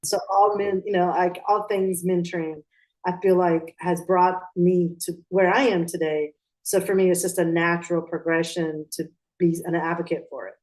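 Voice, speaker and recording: A woman's voice: on the low side, fairly even intonation, moderate pace, darkish tone, rough recording. A woman from Pakistan who grew up in Atlanta, speaking as a guest in a conversational interview, reflective and often restarting her sentences. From Pakistan, raised in Atlanta, Georgia. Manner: reflective, with frequent restarts and fillers.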